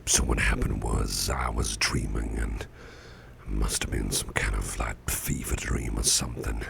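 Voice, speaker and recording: An actor playing a sickly, gruff but genial boss in an ASMR roleplay, musing slowly and haltingly in a half-whisper close to the microphone. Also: male voice, fairly even intonation, slight background noise, exaggerated voice. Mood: musing, uneasy. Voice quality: very deep, gravelly, breathy half-whisper. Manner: slow, halting, folksy musing with pauses.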